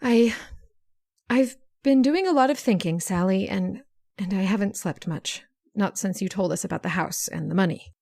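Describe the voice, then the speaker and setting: A woman's voice: mid-range voice, gravelly. An actress playing a scheming, domineering woman in old-time radio suspense style. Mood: feigned remorse. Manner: halting when apologetic, sharp and commanding when plotting. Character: scheming, domineering woman plotting murder, feigning meek remorse.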